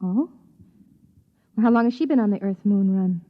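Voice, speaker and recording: An actress playing a worried, determined pilot's wife in 1950s American radio drama style. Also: female voice, mid-range voice, normal speed, close to an everyday voice. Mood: curious, jealous. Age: adult. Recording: muffled vintage 1950s radio recording.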